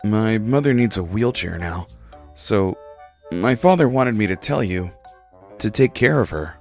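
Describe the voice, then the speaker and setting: An actor playing a meek, people-pleasing young husband who talks in a hesitant, pleading way, with faint music behind. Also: male voice, fairly low pitch, expressive, unhurried.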